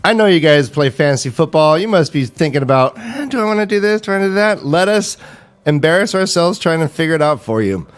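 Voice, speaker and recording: A man's voice: on the high side, quick pace. A man hosting a comedic fantasy football livestream, joking and reading off stats. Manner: animated, joking, reads stats. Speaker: livestream host.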